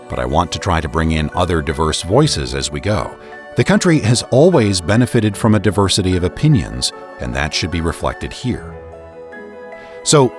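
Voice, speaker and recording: An American man with a trained voice-actor delivery, reading scripted historical narration in a measured, deliberate, formal way. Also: low voice. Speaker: podcast host and narrator.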